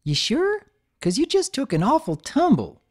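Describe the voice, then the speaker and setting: Woman voicing a young country filly, folksy slang, blunt, high and forceful. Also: wildly swooping pitch, moderate pace. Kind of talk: dramatic audiobook reading.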